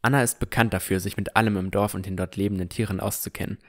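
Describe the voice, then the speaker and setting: A man's voice: on the low side, steady tone, quick pace. A man narrating a fantasy audio drama in the first person from a script.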